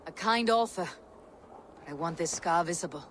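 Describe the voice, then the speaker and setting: An actress voicing a steady, stoic female Viking warrior in a video game, measured and dry in manner. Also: lowish voice, slight background noise, lightly acted. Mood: steady, instructing. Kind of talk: video game character acting.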